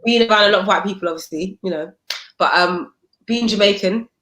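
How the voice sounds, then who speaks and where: A woman's voice: mid-range voice, fairly quick, fairly bright tone. A woman guest singer from England who grew up in Germany, speaking, talking casually and emphatically and laughing easily. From born in England, grew up in Germany. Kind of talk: casual chat. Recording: echoey room.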